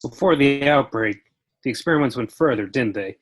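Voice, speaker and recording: A woman as a very deep, husky, blunt soldier. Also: expressive, brisk. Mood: probing. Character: blunt, probing soldier on a military team. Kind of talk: dramatic script table read.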